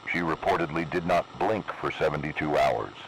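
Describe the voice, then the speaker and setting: Man voicing a menacing broadcast announcer, extremely deep, slow and measured, noisy lo-fi tape. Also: gravelly, a bit bright, exaggerated voice. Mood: official. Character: cold male emergency broadcast announcer, menacing. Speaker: ominous warning broadcast announcer. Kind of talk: scripted analog horror narration. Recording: noisy analog video recording.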